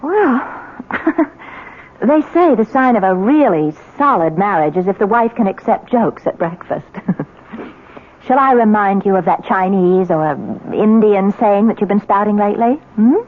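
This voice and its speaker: An American actress playing a wry, sophisticated middle-aged society wife in a 1970s radio drama. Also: female voice, mid-range voice, lively intonation, unhurried, phone-quality sound, natural voice. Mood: teasing, airy. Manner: arch, wry, theatrical. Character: sophisticated, teasing society wife, socially ambitious. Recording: thin, band-limited old radio broadcast.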